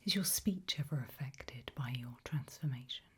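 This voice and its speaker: A woman whispering softly in an ASMR roleplay as a tailor, asking questions in a polite, measured, formal manner. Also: extremely low pitch. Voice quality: soft, breathy unvoiced whisper.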